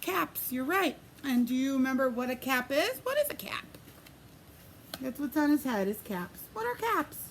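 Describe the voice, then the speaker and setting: Very high-pitched, animated woman reading to preschoolers. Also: a little hiss or hum. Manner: sing-song, expressive, pausing to ask children questions. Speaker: preschool teacher. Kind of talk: animated picture-book read-aloud for young children.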